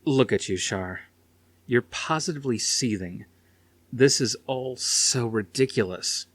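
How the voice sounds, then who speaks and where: A man's voice: mid-range voice, unhurried, no room sound, voice pushed up, close to an everyday voice. A man voicing a cool, exasperated career man with a controlled, dismissive manner in an audiobook. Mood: exasperated, mocking.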